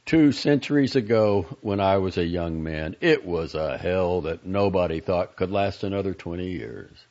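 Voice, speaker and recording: Lofty centuries-old doctor, played by a male narrator: emphatic, oratorical, zealous, widely swinging pitch, storybook reading with a gravelly edge. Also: expressive, moderate pace, darkish tone, highs cut off. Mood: preaching, zealous.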